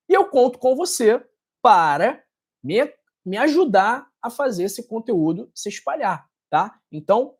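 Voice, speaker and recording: A man with a Carioca accent from Rio de Janeiro, a doctor talking to camera in a direct, scolding way, ending many sentences with a tag question. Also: sits high. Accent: Carioca Brazilian Portuguese. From Rio de Janeiro, Brazil. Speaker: doctor and health channel host. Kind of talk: casual talk to camera, answering viewer comments.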